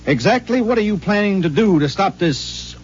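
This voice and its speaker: An actor playing a brisk, practical male friend who speaks firmly in a 1950s radio drama. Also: high voice, lively intonation. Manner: brisk, firm. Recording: dull 1950s radio broadcast with faint hum.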